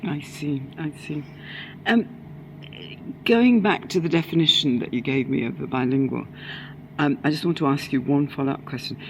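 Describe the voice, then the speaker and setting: A woman interviewing a guest, asking structured questions in a measured way with short prompts. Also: low voice, gravelly, a little hiss or hum, low-quality recording. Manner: measured, steers with short prompts and back-channel murmurs.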